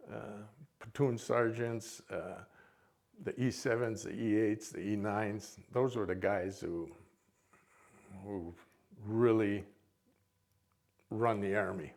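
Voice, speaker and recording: A man's voice: lowish voice, a little mellow. An older man with a Minnesota accent, informally reminiscing to an audience as a veteran in a rambling, folksy way. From Minnesota, United States. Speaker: military veteran, guest speaker.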